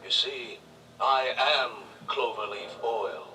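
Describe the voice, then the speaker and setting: An actor playing a sinister, cold male judge who speaks in a slow, menacing way. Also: medium pitch, bright, forceful tone, far from the mic, slight background noise.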